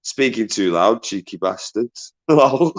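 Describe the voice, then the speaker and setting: A man hosting a casual live stream, swearing freely, laughing a lot and bantering with callers in a loud voice. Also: sing-song, slow. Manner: says he has a deep, loud voice. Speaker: livestream host.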